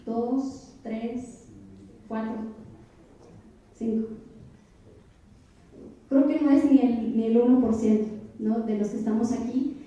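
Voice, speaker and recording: A woman's voice: on the high side, fairly even intonation, slow, long pauses, mellow, far from the mic, highs cut off, slight background noise. Young woman lecturing in an echoey hall. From Oaxaca, Mexico. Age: 20s. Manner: reflective, addresses the audience with questions; frequent hesitation fillers. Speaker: poet giving a keynote lecture. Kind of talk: lecture to a university audience.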